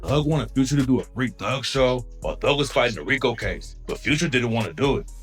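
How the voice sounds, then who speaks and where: A man delivers scripted hip-hop news narration at a middling pitch. His manner is slangy and gossipy, like a storyteller passing on drama, and faint music plays behind him. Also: animated, brisk.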